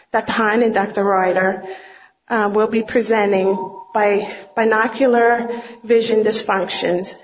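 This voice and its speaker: A woman moderator reading announcements and introducing speakers at a conference, halting and tripping over titles. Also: medium pitch, slight background noise. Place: conference room. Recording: dull lo-fi lecture recording.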